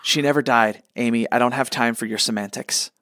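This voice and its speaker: A man's voice: mid-range voice, steady tone, a little fast, a bit bright, no echo, close to an everyday voice. Man acting a whiny, bossy young-minded god. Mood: bossy, flippant. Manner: flippant, whiny, imperious. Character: petulant, bossy male god, a gamer mama's boy. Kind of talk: comedic radio play acting.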